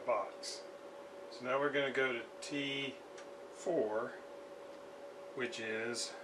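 Man, slow halting delivery, casual workshop demonstration, thinking aloud, distant mic. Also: medium pitch. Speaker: radio restorer, video host. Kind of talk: casual hands-on demonstration talk. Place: indoor home workshop at a desk. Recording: distant mic with background noise.

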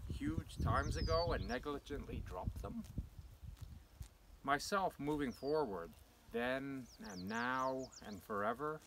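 Slow, expressive man reciting poetry outdoors. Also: mid-range voice, creaky. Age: middle-aged. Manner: measured, declamatory, pausing between short phrases. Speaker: poetry reader. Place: open grassy farm paddock outdoors. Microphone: camera a few metres away. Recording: distant mic, loud outdoor wind and noise.

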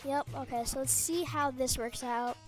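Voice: high voice, steady tone, moderate pace. A young person hosting a casual gaming prank video in an informal, giggly way. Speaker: video host. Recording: music behind the voice.

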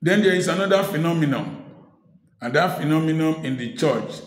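A middle-aged man preaches straight into a close phone camera as he sits in a room at home. His voice sits a little high for a man, and the room gives it a noticeable echo. He hammers his points home by repeating words and mixes in pointed humor. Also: lively intonation, normal speed. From Africa. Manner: emphatic, repeats key words, mixes teaching with sharp humor. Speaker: preacher and speaker. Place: indoor room at home, seated in office chair.

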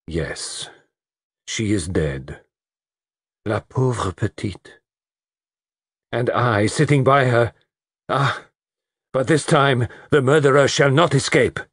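A commanding detective with a French accent. He is deliberate, precise and theatrical, his husky voice lifting and falling widely, recorded very dry, in storybook style. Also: medium pitch, sing-song, dry recording, pitched above the performer's own voice. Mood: grave.

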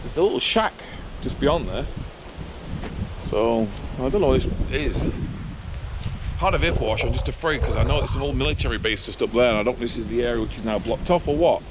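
A man's voice: mid-range voice, a little fast, background noise. A middle-aged male vlogger giving casual, musing commentary while walking outdoors with a handheld camera. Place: outdoors on a park road and path. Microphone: handheld action camera at arm's length.

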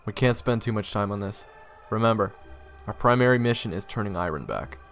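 A man playing a grim, watchful adventurer who narrates a fantasy audio drama gravely and deliberately. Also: fairly low pitch, expressive, fairly bright tone. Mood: grim, wary. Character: grim, watchful male fantasy adventurer telling his tale. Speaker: narrator and character. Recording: music playing behind. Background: background music.

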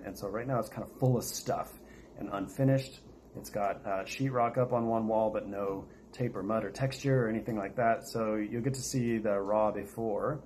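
American guy with a lowish voice doing a casual vlog, some noise in the background. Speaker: vlog host. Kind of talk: casual chat to camera. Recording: steady noticeable background noise.

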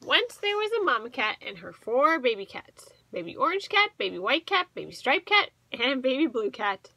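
A woman in her thirties reading a storybook aloud to children as a teacher, expressive and asking the listeners questions. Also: sits high, moderate pace, vocal fry, husky. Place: small indoor room with bookshelves.

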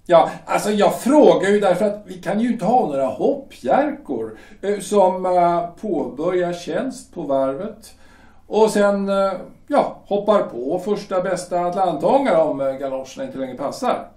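Pompous shipyard boss, as an actor plays him, high, swooping voice, heard from a distance in an echoey room. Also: male voice, expressive, mellow. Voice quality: hesitant, drawn-out, insinuating. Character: pompous male shipyard director, ingratiatingly menacing. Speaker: shipyard director. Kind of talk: audio drama acting.

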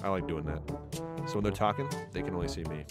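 A young man giving loud, laughing, slang-heavy commentary while exploring a social VR game, swearing often. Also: sits low, fast talker, over music. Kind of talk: casual gaming commentary.

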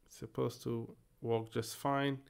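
An Israeli man in his thirties, a native Hebrew speaker, with a gravelly, mid-pitched voice. He talks casually through a tech tutorial from a home office desk, thinking aloud as he goes. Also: level tone, unhurried, a little mellow. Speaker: tech YouTuber. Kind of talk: screen-share tutorial.

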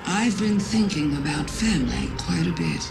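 A woman playing a sly, coolly persuasive witch villainess in a game, musing deliberately. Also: low-pitched, huge pitch swings, heavily acted. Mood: sly, reflective. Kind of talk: dramatic game monologue. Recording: echoing voice over music.

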